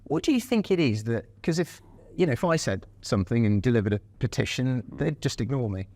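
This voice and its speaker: A man's voice: medium pitch, big pitch swings, a little fast, a little mellow, dry recording. A man interviewing his guest in a conversational, hesitant way. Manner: conversational, hesitant, with many fillers. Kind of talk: casual interview talk.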